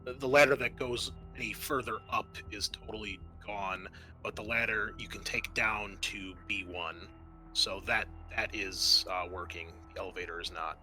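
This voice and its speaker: A man's voice: lowish voice, fairly even intonation, moderate pace, bright, forceful tone, faint music behind. A man running a tabletop game as game master, narrating in a casual, conversational way.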